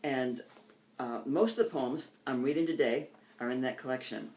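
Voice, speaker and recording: Low-voiced older American woman reading poetry. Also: moderate pace, a little mellow. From United States. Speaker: poet reading own work. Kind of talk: poetry reading with informal introductions.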